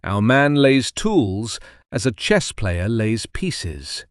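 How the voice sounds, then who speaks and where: A man voicing a composed, commanding gentleman detective who speaks in precise, formal sentences in an audiobook story. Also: mid-range voice, very animated, slow pace, no echo, studio quality. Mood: calm, commanding.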